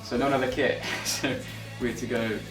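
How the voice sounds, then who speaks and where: A man's voice: medium pitch, fairly even intonation, fairly quick. A twenty-year-old man, telling a story wryly in an interview. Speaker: band's lead guitarist. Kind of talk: documentary interview. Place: band practice room. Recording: echoey room, far from mic, music behind.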